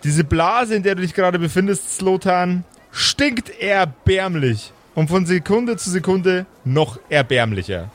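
Slow, theatrical male game master. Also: high voice, expressive. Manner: theatrical, pausing for effect. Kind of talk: improvised pen and paper storytelling.

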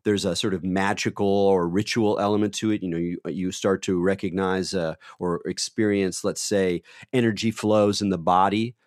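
Booming low-voiced man, rambling conversational talk. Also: flat delivery, moderate pace, few pauses, a little mellow, dry recording, clean studio sound. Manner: rambling, full of "you know" fillers and restarts.

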